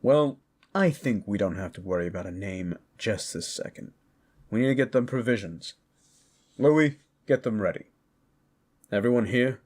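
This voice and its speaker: An easygoing adventurer from a fantasy audio drama, voiced by a man. He talks in a casual, wry way with a husky, low-set voice, like a practical companion. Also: animated, moderate pace, long pauses, darkish tone.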